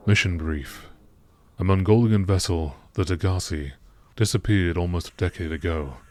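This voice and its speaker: Very low-voiced man narrating. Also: a little mellow, slight background noise. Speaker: narrator reading game lore text. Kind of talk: scripted narration, nature documentary style.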